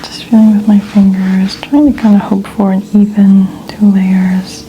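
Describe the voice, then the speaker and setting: A woman speaking in a soft, breathy, often whispered ASMR style, gently explaining a hairstyling routine step by step. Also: mid-range voice, little pitch movement, measured pace, slight background noise, low-quality recording. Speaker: ASMR host playing hairstylist.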